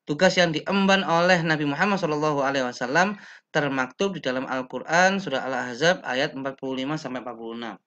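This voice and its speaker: A young teacher from Indonesia reads a lesson aloud from slides over a webcam. His voice is bright and a little high for a man. He speaks slowly and deliberately, with pauses and the odd filler. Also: dull audio. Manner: slow, measured reading with pauses and fillers. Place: indoor room.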